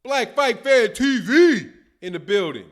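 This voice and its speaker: Middle-aged man, Chicago accent, high voice, slangy livestream talk, webcam at home. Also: expressive, measured pace. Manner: loose, talkative, slangy. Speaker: boxing channel host. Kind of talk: casual livestream chat reading viewer comments. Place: dim room at home, indoors. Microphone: webcam, seated at desk.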